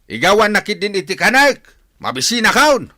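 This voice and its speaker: A man's voice: sits high, sing-song, brisk, bright, forceful tone, full character voice. A man acting a stubborn, quarrelsome gambling father with an Ilocano accent in a radio comedy drama. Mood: irritated.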